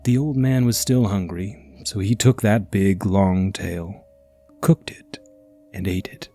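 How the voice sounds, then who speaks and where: Slow-paced male ghost story narrator. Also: sits low, steady tone, mellow, faint music behind.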